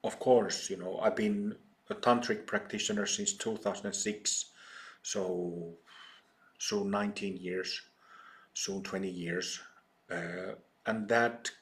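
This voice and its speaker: A middle-aged man with a Finnish accent, a meditation teacher giving a talk at a retreat, speaking haltingly with many pauses and fillers. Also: low-pitched. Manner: slow, hesitant, many ums and pauses.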